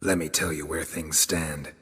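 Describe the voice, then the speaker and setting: A grim monster hunter from a fantasy game, speaks in a rock-bottom, gravelly voice with a forceful edge. He is terse and menacing, and his words ring in a long reverb. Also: extremely low pitch, unhurried, exaggerated voice. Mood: quietly menacing. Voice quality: gravelly, raspy. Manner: terse, flat, threatening. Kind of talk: video game voice acting.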